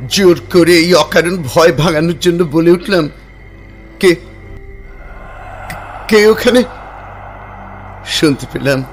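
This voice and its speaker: A brooding young poet played by a man narrates a ghost tale in an audio drama. His fairly high voice moves very slowly, with suspenseful pauses, over faint music. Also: exaggerated voice. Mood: uneasy, suspenseful. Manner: measured, pausing, repeating phrases for suspense.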